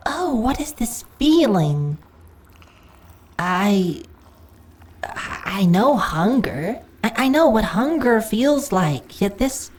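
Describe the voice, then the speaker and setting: A woman's voice: fairly high pitch, full character voice. A woman voicing a lonely, hungry slime monster girl in a fantasy audio roleplay, theatrical and prone to giggling and stammering. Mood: flustered awe.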